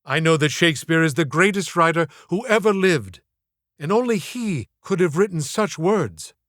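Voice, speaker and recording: Man voicing an earnest, declaiming schoolboy, slightly high. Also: sing-song, normal speed, fairly bright tone, no room sound, clean studio sound. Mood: earnest, sure. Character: earnest, determined schoolboy in love with Shakespeare.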